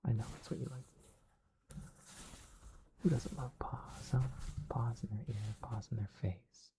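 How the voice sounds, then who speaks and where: A man whispering ASMR in the persona of a dog, with soft, coaxing, soothing pet-talk. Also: medium pitch, steady tone, measured pace, noisy background.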